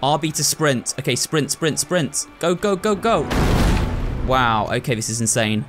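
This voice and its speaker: Male let's-play host, mid-pitched, running commentary to viewers, thinking aloud, clear game music underneath. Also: animated, measured pace. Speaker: video game playthrough host. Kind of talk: excitable gameplay commentary.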